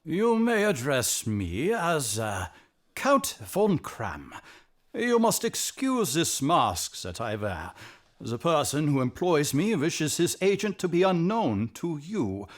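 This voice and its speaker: A man playing a proud, anxious king in an audio drama, with a pompous, formal and sometimes halting delivery. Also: on the high side, huge pitch swings, exaggerated voice. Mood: pompous, guarded.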